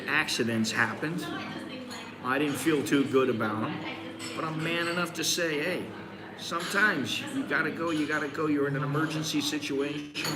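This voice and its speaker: A man in his sixties from the United States hosts a casual live stream from a busy coffee shop, giving blunt, rambling advice with frequent restarts. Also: medium pitch. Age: 60s. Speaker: host. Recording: roomy, crowded cafe. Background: crowd chatter.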